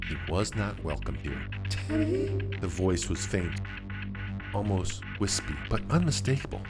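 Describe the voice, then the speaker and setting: A man narrating a scripted mystery story in a dramatic, suspenseful way with deliberate phrasing. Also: sits low, animated, loud music behind the voice.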